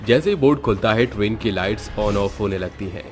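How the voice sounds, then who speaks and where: A man's voice: fairly low pitch, expressive, a little fast, a little mellow. Male horror story narrator, faint music. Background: faint background music.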